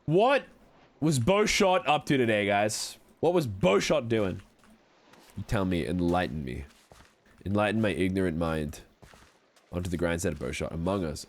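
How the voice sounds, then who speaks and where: Man putting on a lively low-voiced Southern cowboy. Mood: chatty, amused. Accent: put-on American Southern. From Australia. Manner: folksy, courteous, rambling. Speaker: live game streamer. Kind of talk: casual livestream chat over gameplay.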